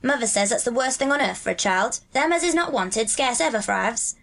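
A woman's voice: a little high, expressive, fairly quick, vocal fry, fairly bright tone, voice pushed up. A woman voicing a kindly, earnest country boy in a storybook, speaking plain, homely Yorkshire dialect in a reassuring way. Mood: sombre, earnest.

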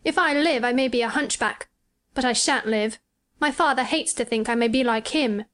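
High-pitched frail young boy, fretful, self-pitying, imperious, storybook character, acted by a woman. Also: moderate pace, fairly bright tone, natural voice. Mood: bitter self-pity.

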